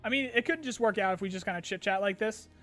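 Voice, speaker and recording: A man's voice: high-pitched, fast talker, bright, forceful tone. A man streaming a casual chat, reading viewer questions aloud and answering loosely with fillers, a little tired and his voice getting strained.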